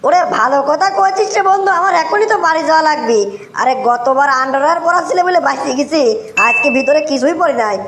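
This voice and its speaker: A man plays a rowdy young drunkard from a comic cartoon, very high and squeaky, rattling along fast in a Bogura accent. His slangy, sing-song delivery comes through an echoey, noisy recording. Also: animated, fairly bright tone, exaggerated voice. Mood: anxious, pleading. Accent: Bogura, northern Bangladesh. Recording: roomy echo, clearly noisy track.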